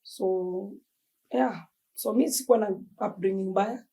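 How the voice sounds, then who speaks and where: A woman's voice: medium pitch, a little slow, rough recording. A young Kenyan woman with a Kenyan accent, a podcast guest in casual chat, easily mixing slang and English. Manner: relaxed, mixes Swahili slang and English.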